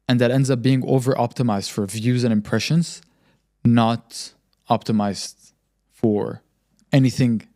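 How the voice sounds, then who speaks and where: A man's voice: mid-range voice, dark tone. A young man talking on a podcast in an assured, explanatory way. He lays out his advice plainly and hammers points home by repeating them. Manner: confident, explanatory, frequent emphatic repetition. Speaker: podcast host and marketing agency founder.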